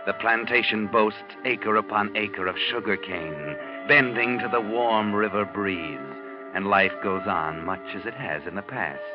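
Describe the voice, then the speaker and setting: An actor playing a sinister, knowing storyteller, narrating theatrically and ominously in 1940s radio style. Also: male voice, on the low side, unhurried, natural voice. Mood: sardonic, foreboding. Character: sinister, knowing male night-walking storyteller. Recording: dull old radio recording with music. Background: loud dramatic music.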